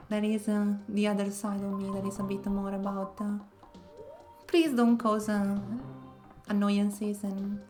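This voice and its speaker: A woman from northern Italy with an Italian accent, speaking English as a second language, chatting casually while streaming a game and often pausing to search for words. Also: medium pitch. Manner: soft-spoken, searching for words with many pauses. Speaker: variety streamer. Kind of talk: casual gameplay commentary.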